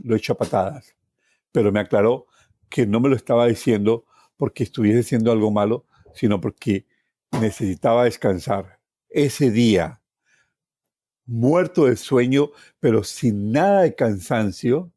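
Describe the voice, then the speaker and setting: A middle-aged man with a Colombian accent recounts his own life as a personal story, phrasing deliberately and repeating words for emphasis. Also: fairly low pitch, mellow, no room sound, studio quality. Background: plucked guitar music.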